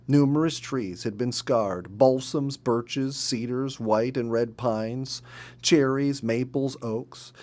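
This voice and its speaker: A man reads a story aloud in an expressive, measured, emphatic way. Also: medium pitch. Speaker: storybook reader. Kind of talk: read-aloud storytelling from a nature memoir.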